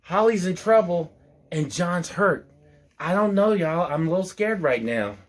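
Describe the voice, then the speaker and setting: A man's voice: high-pitched, expressive, a little slow. A man reading a rhyming story aloud as a storyteller, expressively, with folksy, playful asides to his listeners.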